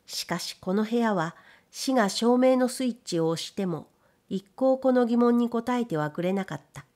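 Measured female audiobook narrator. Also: fairly high pitch, lively intonation, a little mellow. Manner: measured storytelling. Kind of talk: scripted literary narration.

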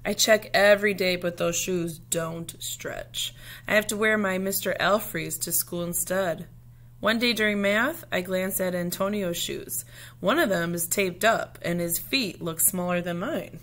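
A woman reading a children's picture book aloud like a teacher, with deliberate, dramatic phrasing. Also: on the low side, a bit bright.

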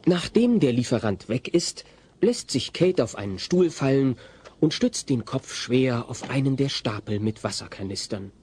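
Man as a wry dramatic storyteller, mid-pitched. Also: lively intonation, normal speed, a little mellow, lightly acted. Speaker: narrator. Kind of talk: scripted comic story narration. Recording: faint hiss, old cassette audio.

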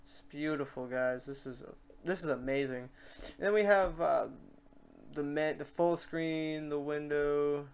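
A man with a clear, smooth voice set a little high talks through a software tutorial. He is informal and rambling, thinking out loud as he works at his computer. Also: steady tone, measured pace. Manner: informal, rambling, thinks aloud while working. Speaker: tutorial host. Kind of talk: casual screen-share software tutorial. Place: indoors at a computer.

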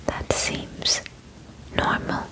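A woman in her twenties whispering close to the mic in an ASMR roleplay as an examiner, deliberate and formal in her phrasing. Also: low-quality recording. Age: 20s. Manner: slow, soft, deliberate, formal clinical phrasing. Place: small bedroom, indoors.

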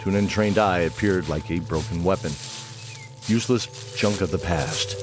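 A man's voice: low voice, moderate pace, natural voice. A man narrating a fantasy audio drama in a scripted storytelling style. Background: music.

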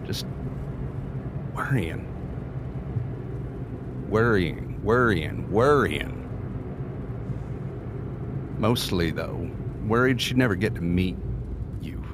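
An actor playing a weary, grieving middle-aged man in an audio drama, speaking in a blunt, halting way. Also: low-pitched, lively intonation, heavily acted. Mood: weary, rueful. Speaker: actor in a scripted podcast. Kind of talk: scripted audio drama acting. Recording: vehicle noise behind.

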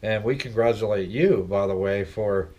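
A man's voice: on the low side, moderate pace, warm tone. A middle-aged man hosting a casual vlog from his living room, chatting and rambling with lots of questions for his viewers. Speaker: vlog host. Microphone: laptop webcam, seated at desk.

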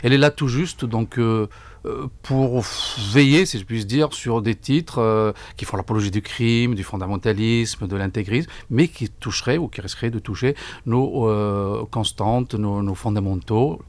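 Halting low-voiced man, close and dry. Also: darkish tone, no room sound. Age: middle-aged. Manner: halting, often repeats words, deliberate. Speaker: book fair coordinator, interview guest. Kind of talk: formal radio interview answers. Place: radio studio. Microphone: broadcast microphone on desk stand.

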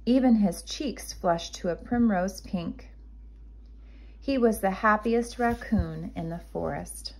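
A woman narrating a children's storybook like a kindergarten teacher, with deliberate, expressive phrasing for young listeners. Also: medium pitch, slight background noise, poor audio. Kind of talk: read-aloud storybook narration.

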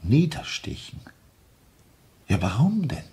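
An actor playing a composed, sceptical police inspector with a Viennese accent, questioning with measured authority in a crime radio drama. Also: male voice, medium pitch, huge pitch swings, mellow, heavily acted. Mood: probing. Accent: Viennese Austrian.

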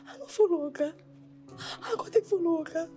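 A woman playing a pleading high-voiced cook. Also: fairly quick, warm tone, faint music behind. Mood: sobbing. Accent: Nigerian Pidgin English. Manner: pleading, stammering, tearful. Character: young female house cook, pleading and emotional.

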